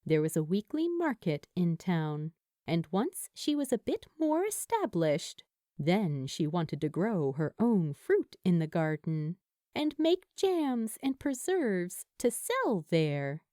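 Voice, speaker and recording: A woman reading scripted fiction as an audiobook narrator, with an expressive, measured storytelling manner and deliberate pauses. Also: fairly low pitch, no room sound, clean studio sound.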